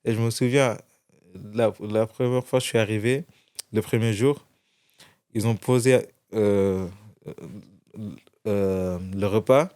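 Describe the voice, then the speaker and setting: A man's voice: lowish voice, fairly even intonation, a little slow, long pauses, a little mellow, smooth. A young man from France, a guest in an interview, telling his story casually with many restarts. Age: young adult.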